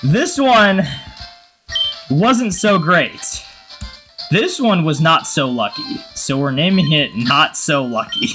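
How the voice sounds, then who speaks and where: A man with a cold giving casual, excitable, slangy gaming commentary. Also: mid-range voice, low-quality recording. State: has a cold. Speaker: gaming video host.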